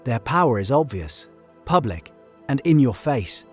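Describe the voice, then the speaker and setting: A man delivering scripted video-essay narration in measured, rhetorical short phrases. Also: medium pitch, big pitch swings. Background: background music.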